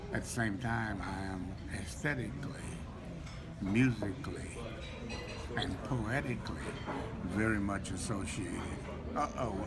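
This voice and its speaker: A man in his seventies giving a considered opinion in deliberate, formal, eloquent wording. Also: lowish voice. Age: 70s. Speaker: interview respondent. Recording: loud background noise.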